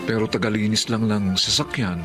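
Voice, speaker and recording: A man's voice: fairly low pitch, steady tone, brisk, natural voice. An actor playing a hard-up young father who washes cars, in a Filipino radio drama. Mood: regretful. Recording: loud music bed under the voice.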